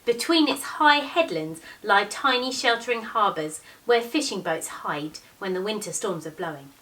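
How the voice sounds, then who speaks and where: A woman in her thirties reads a children's storybook aloud in an expressive way, with deliberate, measured phrasing. Her voice sits at a medium pitch and is picked up from some distance away in a living room. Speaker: teacher reading a picture book to pupils. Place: small living room, indoors, on a sofa. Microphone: camera a few metres away.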